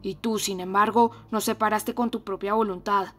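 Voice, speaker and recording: A woman's voice: mid-range voice, fairly even intonation, moderate pace, natural voice. A woman voicing a fierce, anguished young man. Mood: bitter accusing. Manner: harsh, accusing, loud.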